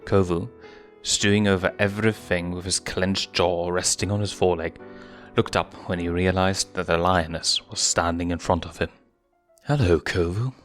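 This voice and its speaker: A man's voice: sits low, little pitch movement, moderate pace, a bit bright, soft background music. A man reading a fan fiction story aloud as a scripted narrator.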